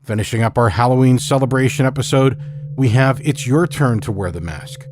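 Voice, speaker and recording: A man's voice: medium pitch, fairly quick, background noise. A man hosting a horror story podcast, announcing the next tale and starting to read it. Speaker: podcast host and narrator. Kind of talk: podcast introduction and story narration. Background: music.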